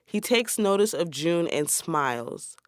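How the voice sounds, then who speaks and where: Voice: medium pitch, very animated, a little slow, dry recording, clean studio sound. A narrator reading stage directions for a radio play as scripted narration.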